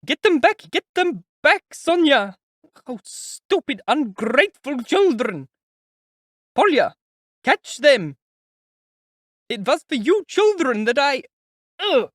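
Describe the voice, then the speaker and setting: A woman's voice: high voice, very animated, slow pace, long pauses, no echo, voice pushed up, full character voice. A man voicing a desperate, wailing mother who is dying, shrieking at the crowd in a storybook reading. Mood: frantic.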